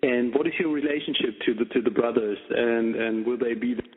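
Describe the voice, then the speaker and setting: A man putting questions as a reporter on a conference call, carefully, pausing between phrases. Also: mid-range voice, noisy background. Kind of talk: phone interview questioning. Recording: tinny phone-line conference call with reverb.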